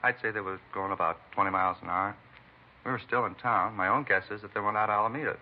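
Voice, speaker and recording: A man's voice: on the low side, fast talker, natural voice. An actor playing a beaten, talkative American truck driver in a vintage radio drama, rambling and folksy in plain working-man talk. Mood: weary recounting. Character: beaten truck driver, talkative, folksy, eccentric self-styled bump-reader. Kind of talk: 1940s-50s radio acting. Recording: muffled vintage radio recording, faint hiss.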